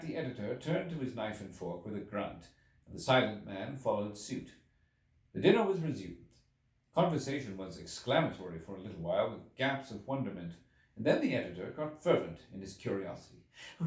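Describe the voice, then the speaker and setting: A man reading a literary story aloud as scripted narration, in a dramatic storytelling manner. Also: lowish voice. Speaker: audiobook reader. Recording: roomy echo, distant from mic.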